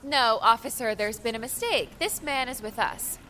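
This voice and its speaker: A woman's voice: on the high side, normal speed, pushed and bright, slight background noise. A woman playing a sarcastic, feisty young female adventurer with a blunt, informal manner in an audiobook. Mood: nervous, insistent. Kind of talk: audiobook character dialogue.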